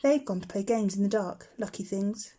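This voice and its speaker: A woman's voice: medium pitch, moderate pace, close to an everyday voice. A woman voicing a cheerful, teasing older boy, a matter-of-fact scout in a storybook. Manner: breezy, exclaiming, gently mocking.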